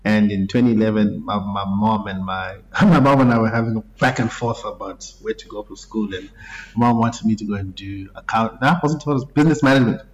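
A man, a guest musician telling his story in a relaxed way and laughing easily. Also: on the low side, fairly quick, low-quality recording. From Zimbabwe. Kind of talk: casual interview talk.

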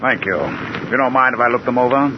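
A man's voice: medium pitch, quick pace, natural voice. An actor playing a coolly threatening, commanding male gang leader in an old-time radio thriller. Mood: smug command. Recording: muffled, very noisy old radio recording.

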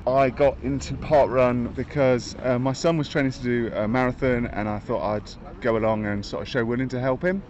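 A man in his fifties speaks hesitantly, filling his pauses with uhs. His voice is mid-pitched. The recording sounds dull and muffled. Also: darkish tone. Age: 50s. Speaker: parkrun runner interviewee.

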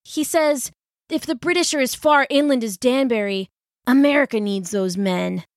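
Woman putting on a teen boy rider from a storybook: wounded, breathless, urgent, lifted high. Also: moderate pace, no echo, studio quality. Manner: breathless, pleading. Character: sixteen-year-old boy messenger, wounded and urgent.

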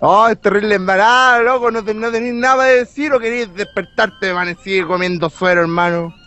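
A man's voice: sits high, a little fast, bright, forceful tone, soft background music. A Chilean man making a mocking prank phone call, goading in heavy slang. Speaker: prank caller.

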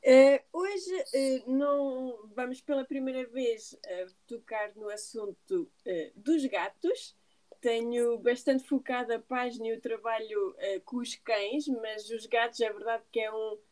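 A woman hosting a podcast, chatty and often pausing on fillers as she introduces topics. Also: on the high side, warm tone. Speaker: podcast host. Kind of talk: podcast interview.

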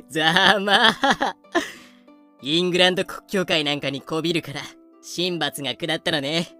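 Man playing a haughty, bright-voiced colonial governor. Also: sits high, slow pace, soft background music, heavily acted. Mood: gloating. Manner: scornful, sarcastic. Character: haughty, spiteful colonial governor with feminine speech. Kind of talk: fan-made audio drama acting.

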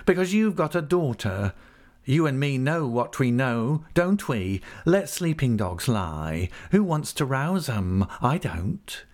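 A man's voice: medium pitch, big pitch swings, takes it slowly, a little mellow, voice pushed up. A man voicing a sulky, falsely humble schemer in a story, whining and insinuating with veiled threat. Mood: warning, insinuating.

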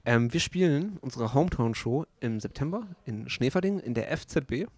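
A man's voice: medium pitch, lively intonation, normal speed, a little mellow, no room sound. Matter-of-fact male band member, dry sound. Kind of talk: casual interview.

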